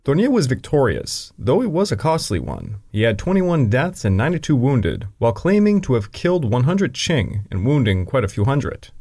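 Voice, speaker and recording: A man's voice: medium pitch, wildly swooping pitch, normal speed, few pauses, darkish tone, slightly rough. A man narrating a scripted history podcast, with dry joking asides. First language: English. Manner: dry asides breaking into the reading.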